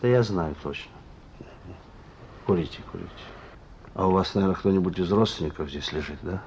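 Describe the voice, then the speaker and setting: An actor playing a sullen, fatalistic, wounded young petty criminal, curt and colloquial, in a Soviet radio play. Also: male voice, fairly quick, a little mellow, highs cut off. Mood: weary, subdued. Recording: noisy old radio recording with rumble.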